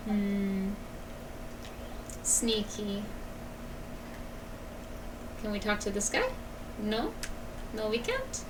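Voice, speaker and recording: A woman's voice: medium pitch, big pitch swings, very slow, long pauses, background noise. A woman giving casual live commentary while gaming, in short musing remarks to herself. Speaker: game streamer.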